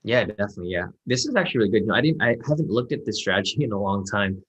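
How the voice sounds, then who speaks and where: Fast-talking low-voiced man mentoring on a call. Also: slightly rough. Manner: relaxed, reassuring, filler-heavy. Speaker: trading mentor. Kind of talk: casual one-on-one mentoring call.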